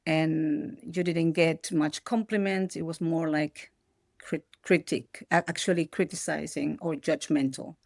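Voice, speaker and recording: A woman's voice: low voice, fairly even intonation, measured pace, creaky, a little mellow, dry recording, studio quality. A middle-aged woman from Peru, raised in the Netherlands, speaking as an as a podcast guest, reflective and often restarting her sentences. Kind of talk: reflective personal interview.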